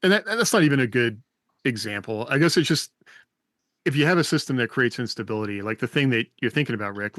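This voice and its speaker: A man with a middling pitch talks casually on a podcast. He hedges and works through his thoughts as he goes. The sound is dry, with no room echo. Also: fairly bright tone. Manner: tentative, hedging, thinking aloud. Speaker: podcast co-host. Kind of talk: casual discussion.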